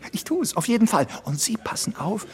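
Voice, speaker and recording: Gossipy young gent in a radio play, chatty and mischievous, lively pitch. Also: male voice, normal speed. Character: gossiping young gentleman at a party.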